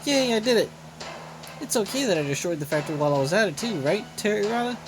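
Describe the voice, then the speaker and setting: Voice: mid-range voice, animated, fast talker, pitched above the performer's own voice, close to an everyday voice, low-quality recording. A game streamer voicing an eager, boastful, naive young girl fighter in game dialogue, over music. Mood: gleeful. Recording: music behind the voice.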